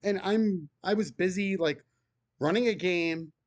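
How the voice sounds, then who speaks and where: A man game master chatting casually, speaking calmly and evenly. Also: high voice, measured pace, dry recording. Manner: calm, even-tempered talker. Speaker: game master, video creator. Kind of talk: casual podcast chat.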